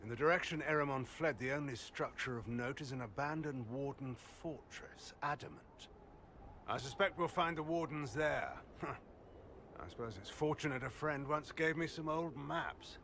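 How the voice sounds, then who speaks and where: A man voicing a bright-toned scholarly mage. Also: medium pitch, normal speed, slight background noise, lightly acted. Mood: thoughtful.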